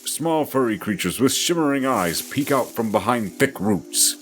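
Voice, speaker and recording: A man's voice: medium pitch, animated, moderate pace, soft background music. A man narrating a scripted fantasy audio drama in a theatrical, descriptive storytelling manner.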